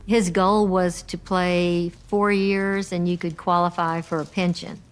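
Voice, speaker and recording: A woman's voice: on the low side, little pitch movement, a little slow. A woman recalling someone's career in an interview style. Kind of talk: interview recollection. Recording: clearly noisy clip.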